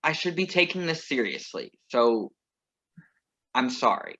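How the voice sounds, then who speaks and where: A man's voice: mid-range voice, very animated, pushed and bright, full character voice. A man reading the part of a composed, wry ex-lover who crashes a wedding, in a staged play reading over a video call. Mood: earnest. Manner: measured, wry, earnest. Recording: muffled video call audio.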